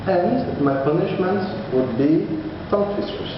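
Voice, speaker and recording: A man's voice: sits high, unhurried. Hesitant man, echoey distant room. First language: Portuguese. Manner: hesitant, restarts often, laughs at himself. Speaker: vlogger. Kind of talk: casual study-update vlog. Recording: far-off echoey hall, dull lo-fi with noise.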